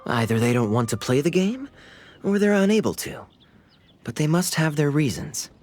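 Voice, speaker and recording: A man playing a suave, easygoing young knight officer in an anime video game, with a smooth, wry, measured delivery. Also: fairly high pitch, very animated, a little mellow, faint music behind. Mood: amused, musing.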